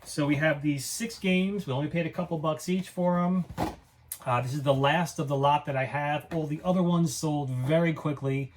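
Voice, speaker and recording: A man's voice: fairly high pitch, moderate pace, a little hiss or hum. A man hosting his own reseller vlog, showing items in a casual, unscripted, plain-spoken and matter-of-fact way. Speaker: flea market reseller hosting own show. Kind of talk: casual unscripted product show-and-tell.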